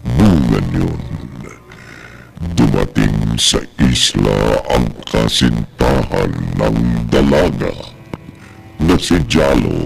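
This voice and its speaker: Extremely deep gravelly sinister horror storyteller. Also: male voice, full character voice. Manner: drawn-out, theatrical, ominous delivery. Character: sinister slow-talking horror storyteller with a menacing laugh. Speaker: narrator and host of a horror radio series. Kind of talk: radio horror drama narration. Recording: loud music under the voice.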